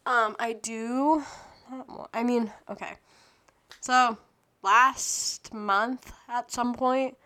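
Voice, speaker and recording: A woman's voice: a little high, bright, forceful tone. A woman in her early twenties hosting a solo podcast, chatting in a scattered, self-interrupting way and losing her train of thought. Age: early 20s. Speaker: podcast host. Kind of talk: rambling solo podcast chat.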